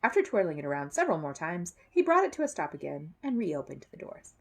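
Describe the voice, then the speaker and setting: A woman narrates a children's book in an expressive read-aloud style. Her pitch moves freely, and her voice has a husky grain. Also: fast talker. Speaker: storyteller reading aloud.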